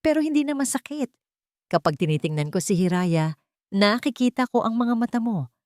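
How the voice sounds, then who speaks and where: A tender, peaceful mother from an audio love story, acted by a woman narrator. She speaks gently and lovingly, with lively pitch movement in a dry recording. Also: normal speed, no room sound, close to an everyday voice. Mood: tender, reflective. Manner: tender, hushed.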